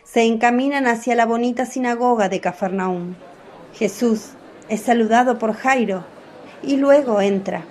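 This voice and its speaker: A woman's voice: on the high side, normal speed, darkish tone. Woman narrating a radio drama.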